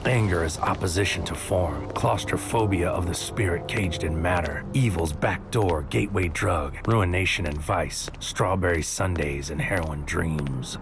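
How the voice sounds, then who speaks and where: Audio drama lead: a world-weary operative, very low voice, terse poetic inner monologue over prominent music. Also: male voice, a little slow, hardly any pauses. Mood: poetic, bleak. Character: brooding, bitter male operative narrating his inner thoughts. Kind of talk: noir-like scripted audio drama narration.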